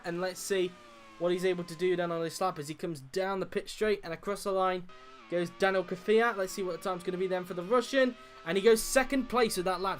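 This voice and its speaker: A high-voiced man with a croaky throat runs a steady lap-by-lap race call. He says "uh" often as he tracks the cars, and soft music plays underneath. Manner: steady lap-by-lap play-by-play with frequent "uh" fillers. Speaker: commentator. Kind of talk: sim racing commentary.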